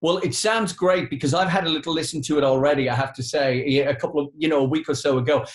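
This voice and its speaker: Man, fifties, raised pitch, chatty interviewer, meandering questions, roomy echo. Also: fast talker. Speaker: radio host, interviewer. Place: living room, indoors. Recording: echoey living room video call.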